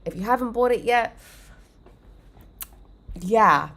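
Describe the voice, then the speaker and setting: A woman hosting her own livestream, chatting casually and full of fillers, a bit congested and under the weather. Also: on the high side. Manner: chatty, filler-heavy, upbeat. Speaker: livestream host.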